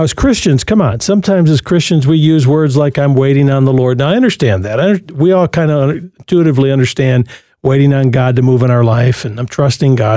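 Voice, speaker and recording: Male radio host, mellow dry studio voice, preachy and urging. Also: on the high side, no echo. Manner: preachy, urging listeners to call.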